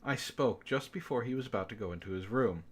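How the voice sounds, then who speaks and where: A man's voice: medium pitch, a little fast, husky. A man reading amateur fiction aloud expressively, breaking in with sarcastic, exasperated comments as a book club host.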